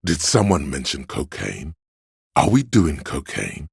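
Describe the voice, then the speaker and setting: A man voicing the hedonistic inner voice of addiction in a video game, a sleazy tempter in the brain with a gravelly, husky, goading drawl. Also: extremely low pitch, sing-song, a little slow, no echo, studio quality, full character voice. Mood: coaxing, sly.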